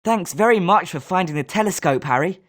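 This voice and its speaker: Young British schoolboy wizard. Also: male voice, high voice, animated, a little fast, a bit bright. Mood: friendly, polite. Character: schoolboy wizard student, polite but sometimes sneering. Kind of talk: scripted video game character lines.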